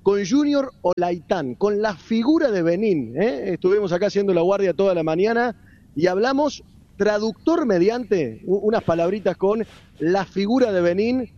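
A man's voice: high voice, expressive, moderate pace, warm tone, highs cut off. An Argentine man reporting live from the street, hesitant with many fillers. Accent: Argentine Spanish. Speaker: field reporter.

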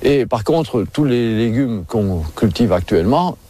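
A man's voice: medium pitch, animated, a little slow, mellow, slight background noise. A man from France, a horticulturist, musing aloud in a radio report. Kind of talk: reflective remark in a radio report. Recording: report clip with music underneath.

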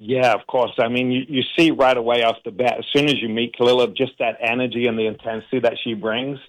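Coach, flat even pitch, earnest guest on a radio call. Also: male voice, fast, rough recording. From England. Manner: grateful, earnest, flowing storytelling. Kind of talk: radio interview answers.

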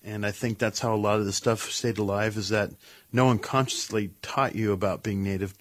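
Guy reflecting on growing up, husky and lowish, close dry sound. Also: steady tone, brisk, no echo. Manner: reflective, plain-spoken. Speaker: interviewee. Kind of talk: documentary interview.

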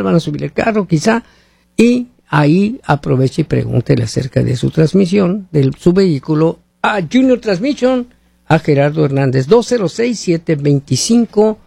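A man's voice: high-pitched, lively intonation, normal speed, vocal fry, darkish tone, husky, no room sound. A man from Mexico hosting talk radio, rambling through commentary and reading sponsor ads, often asking listeners rhetorical questions. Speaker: radio host. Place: living in the United States.

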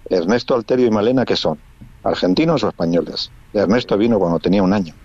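A low-voiced man from Spain chats through his report, often restarting and filling pauses. The sound is muffled and lo-fi, like radio audio. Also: expressive, a little mellow, breathy edge, no echo. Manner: conversational, many restarts and fillers. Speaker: radio correspondent.

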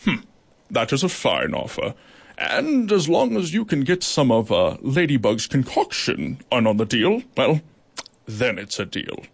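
Man doing beetle judge, male, gruff, magisterial, slow, solemn, gravelly, husky. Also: mid-range voice, big pitch swings, full character voice.

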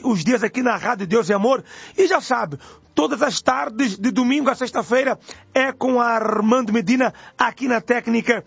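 High-pitched raspy Brazilian radio man. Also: a bit bright, dry recording, dull audio. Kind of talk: live religious radio presenting.

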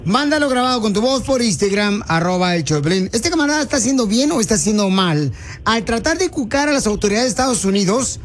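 A man's voice: high-pitched, animated, quick pace. A man hosting a heated call-in radio show, mocking and confronting a caller.

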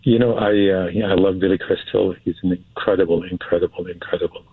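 Male guest, husky voice, dry phone-like narrow sound. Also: deep voice, steady tone, fast, dry recording. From Syria. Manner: hesitant, repeats words for emphasis. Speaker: interview guest, film producer. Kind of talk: casual interview talk.

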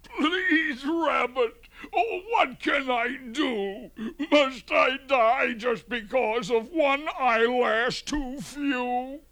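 A man's voice: high voice, huge pitch swings, extremely slow, creaky, bright, forceful tone, slightly rough, no room sound, pitched above the performer's own voice, heavily acted. A man voicing a big, gullible, fearful old bear in a children's storybook, in a whimpering, pleading voice. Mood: tearful pleading. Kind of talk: children's storybook character reading.

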